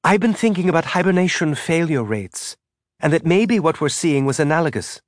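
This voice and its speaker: A man's voice: fairly high pitch, animated, moderate pace, dry recording, clean studio sound, voice pushed up, lightly acted. A man doing a rambling eager woman scientist. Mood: earnest. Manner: eager, rambling, rising lilt.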